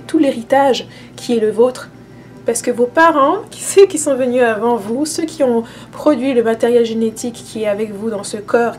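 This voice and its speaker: A woman's voice: a little high, darkish tone. A woman vlogger talking casually to camera as a spiritual teacher, hesitant, with many fillers and restarts.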